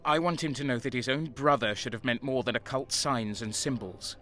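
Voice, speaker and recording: A man playing a defiant, embittered renegade soldier in a dark sci-fi audio drama, brooding and intense. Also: medium pitch, a little fast, soft background music, natural voice. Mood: defiant, resentful.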